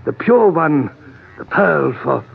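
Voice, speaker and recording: An actor playing a fat, excitable, obsessive collector with florid, pompous, stammering diction in an old-time radio drama. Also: male voice, a little high, slow pace, creaky, full character voice. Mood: rapturous, obsessed. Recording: muffled, noisy vintage radio recording.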